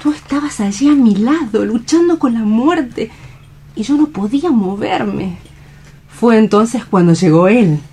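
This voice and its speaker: A woman's voice: a little high, lively intonation, measured pace, mellow, breathy edge, full character voice. An actress playing a devoted, earnest young woman with a lyrical, emotive delivery in a radio drama. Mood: intense. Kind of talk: radio theatre dramatic reading.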